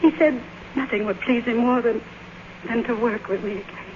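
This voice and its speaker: A woman's voice: fairly high pitch, steady tone, moderate pace, natural voice. A woman playing an aging, grand film queen, noisy old recording. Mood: triumphant. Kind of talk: 1950s radio drama acting. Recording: noisy, dull old radio broadcast recording.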